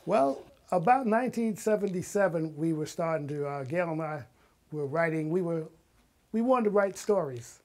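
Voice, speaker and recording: Older man, higher-pitched voice, telling stories. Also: expressive, moderate pace, a little mellow. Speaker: guest, writer and filmmaker. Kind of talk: casual interview storytelling.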